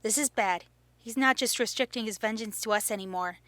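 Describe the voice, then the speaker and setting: A woman's voice: fairly high pitch, a little fast, a bit bright, dry recording, lightly acted. A woman playing a confident, teasing teenage girl hero in an audio drama. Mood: urgent. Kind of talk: fan audio drama acting.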